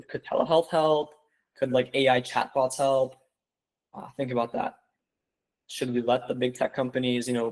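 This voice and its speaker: A man's voice: mid-range voice, poor audio. Young man rambling through a webinar. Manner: rambling, many fillers like "like" and "you know"; addresses viewers as "guys". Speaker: webinar presenter. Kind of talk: casual online seminar talk.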